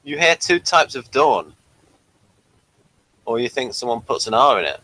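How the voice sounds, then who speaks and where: Man with lively, swooping intonation, chatting. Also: mid-range voice, unhurried, low-quality recording. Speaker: podcast host reviewer. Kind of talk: casual review chat.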